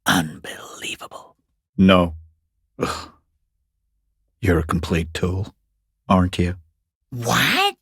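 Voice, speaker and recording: A man voicing a foul-mouthed, sarcastic watcher in a video game, taunting in a rough, breathy, creaky half-whisper. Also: deep voice, big pitch swings, fairly bright tone, no room sound, clean studio sound, heavily acted. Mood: mocking exasperation. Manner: sarcastic, taunting, drawn-out mockery. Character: sneering, foul-mouthed watcher peeking through a harbour gate gap. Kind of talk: video game character voice acting.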